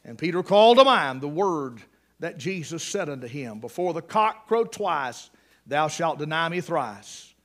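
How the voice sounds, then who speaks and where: Preacher guy, high voice, folksy storytelling, jokes around then gets loud. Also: sing-song, a little slow. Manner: folksy storytelling with joking asides, rising to loud emphatic peaks. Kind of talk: sermon.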